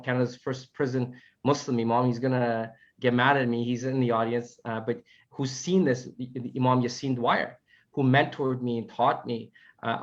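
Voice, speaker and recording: A man whose native language is Farsi, answering earnestly on an online panel, haltingly and often repeating his phrases. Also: medium pitch. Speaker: panelist, family advocate. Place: online video call. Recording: dull, lo-fi call audio.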